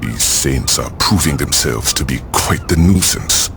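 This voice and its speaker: An actor playing a coldly polite, menacing crime boss in a video game, speaking formally and deliberately. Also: male voice, extremely low pitch, expressive, a bit bright, a little hiss or hum, exaggerated voice. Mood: stern displeasure. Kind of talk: video game cutscene acting.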